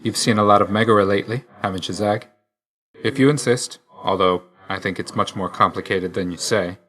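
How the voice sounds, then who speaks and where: A man playing a grim, aloof god of death in a video game, terse and deadpan, stern yet grudgingly loyal. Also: on the low side, a little fast, lightly acted. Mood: dry, reserved. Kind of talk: scripted game character lines.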